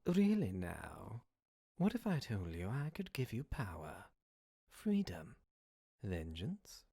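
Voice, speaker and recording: Suave scheming demon, played by a man, husky and unhurried, with swooping delivery for a podcast drama. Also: fairly low pitch, huge pitch swings, dark tone, no echo, full character voice. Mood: smooth temptation. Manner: slow, insinuating, formal. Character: suave tempting male demon, courteous and scheming. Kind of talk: podcast audio drama acting. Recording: dry amateur home recording.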